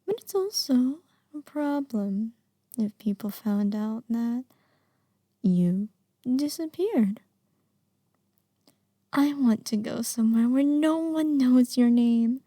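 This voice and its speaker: A woman acting an obsessive, lovesick young kidnapper in an intimate ASMR roleplay, sweetly menacing with breathy murmurs and sighs. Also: a little high, expressive, slow, long pauses, warm tone, no room sound, studio quality. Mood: possessive, doting.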